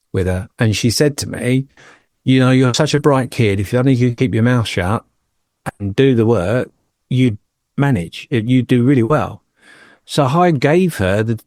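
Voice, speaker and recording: A man's voice: medium pitch, darkish tone, dry recording, clean studio sound. A man in his fifties from England, talking on a podcast and telling personal stories with a joking, anecdotal touch. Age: 50s. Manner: jokey, anecdotal storyteller. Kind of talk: casual chat, personal storytelling.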